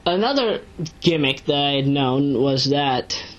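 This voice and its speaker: A woman giving casual, unscripted commentary as an online reviewer, rambling and halting as she corrects herself. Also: extremely low pitch, animated, lo-fi sound. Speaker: online video reviewer and narrator. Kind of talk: casual unscripted commentary recapping a web series.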